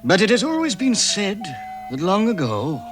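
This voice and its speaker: Expressive storyteller over music. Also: male voice, high-pitched, normal speed. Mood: wry. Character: wry, measured male storybook narrator. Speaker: narrator. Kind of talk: dramatised storytelling, vintage British radio.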